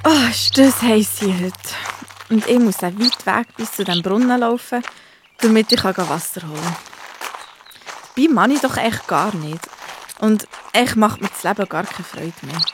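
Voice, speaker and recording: A woman's voice: medium pitch, moderate pace, background noise, natural voice. A woman playing a young biblical woman in a children's audio drama, speaking expressively with a Swiss German accent. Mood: weary, listless. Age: young adult.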